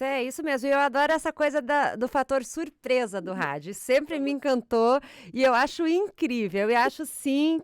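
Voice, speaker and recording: A woman's voice: sits high, fairly bright tone, smooth, no echo, clean studio sound. A woman hosting an interview podcast, upbeat and affirming as she moves the talk along. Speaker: podcast host.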